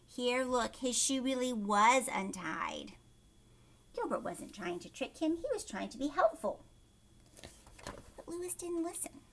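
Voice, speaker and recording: A woman reading a children's story aloud like a teacher, expressively, pausing to explain and ask young listeners questions. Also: on the high side, lively intonation, a bit bright. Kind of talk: animated children's read-aloud with explanatory asides.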